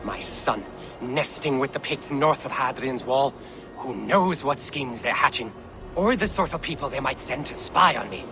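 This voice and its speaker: A man's voice: mid-range voice, animated, normal speed, over music, close to an everyday voice. An actor playing a deluded, proud old man in a video game, rambling and grandiose. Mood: suspicious. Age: older. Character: deluded old Saxon man, proud, fretful, wandering-witted. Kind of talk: video game cutscene acting.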